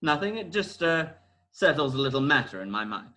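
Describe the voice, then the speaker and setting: A man's voice: a little high, big pitch swings, brisk, pushed and bright. A man playing a bossy, clever detective in a comic amateur radio play, with a grand, declamatory delivery. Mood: sly.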